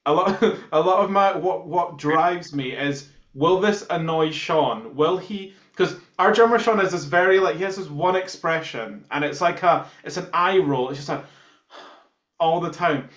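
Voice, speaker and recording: A man's voice: a little high, measured pace, fairly bright tone. A man with a Scottish accent, a band musician chatting casually in an interview and telling jokey anecdotes. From Scotland. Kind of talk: casual chat. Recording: roomy, echoey, muffled sound.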